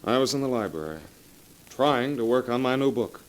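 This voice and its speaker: An actor playing a weary, guilt-ridden writer husband, earnest and melodramatic, in a 1940s radio drama. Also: male voice, medium pitch, expressive, normal speed, close to an everyday voice, poor audio. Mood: weary, evasive. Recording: vintage 1940s radio recording.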